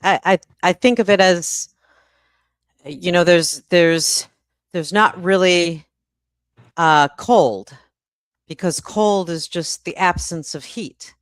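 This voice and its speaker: A woman's voice: lowish voice, slow, long pauses, dry recording. A woman hosting a live stream in a casual, slightly hesitant way, repeating words as she thinks. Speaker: host of live book event.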